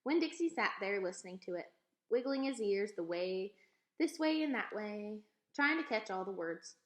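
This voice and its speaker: A woman reading a children's book aloud as an expressive storyteller. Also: mid-range voice, normal speed. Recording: echoey room.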